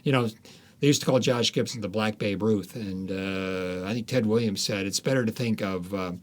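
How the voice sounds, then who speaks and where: A man with a fairly low voice answers as a guest author in an interview. He talks in a conversational way, circling back and repeating words while he works out his thought. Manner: halting, repeats words as he thinks aloud.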